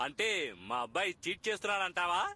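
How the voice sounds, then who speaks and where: A man's voice: sits high, expressive, fast talker, bright, forceful tone, exaggerated voice. A man voicing a proud, defensive father in a dubbed cartoon, insistent and coaching. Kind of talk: animated cartoon dub. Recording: very noisy background.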